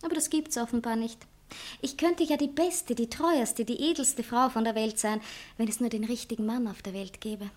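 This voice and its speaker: A woman's voice: a little high, moderate pace, darkish tone, close to an everyday voice. An actress playing a proud, sentimental woman novelist, dramatic and self-justifying in a satirical stage play. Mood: wistful. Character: proud, sentimental woman novelist torn between two lovers. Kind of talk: stage satire acting in literary German.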